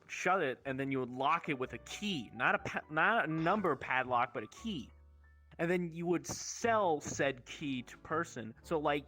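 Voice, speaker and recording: A young man casually telling rambling stories to friends in a slangy, sweary, animated way. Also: fairly high pitch. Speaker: show host telling stories.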